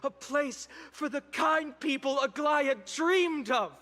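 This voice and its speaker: A high-voiced young male leader from a fantasy game addresses his people with solemn, earnest resolve. His words come slowly and with measured rhetoric. Long hall reverb carries the voice over music. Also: pushed and bright, full character voice. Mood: rousing, resolute.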